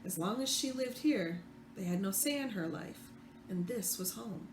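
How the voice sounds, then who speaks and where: A woman reading fiction aloud as the author, expressive, with the odd stumble. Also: medium pitch, moderate pace. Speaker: author reading own book. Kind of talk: read-aloud fiction narration. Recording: roomy echo, faint background noise.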